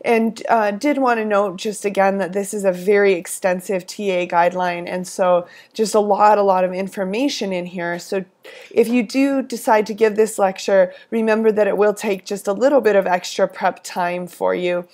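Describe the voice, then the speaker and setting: A hoarse woman coordinating a lab course, briefing teaching assistants in a friendly, instructive way with frequent fillers and restarts. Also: medium pitch, steady tone, normal speed, a little mellow. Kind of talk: recorded weekly instructional briefing.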